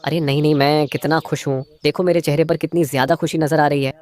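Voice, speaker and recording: A man's voice: fairly high pitch, level tone, quick pace, darkish tone, lightly acted. A man voicing a stingy, two-faced crow husband in a moral-story cartoon, a schemer who feigns sweetness to his wife. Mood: falsely pleased.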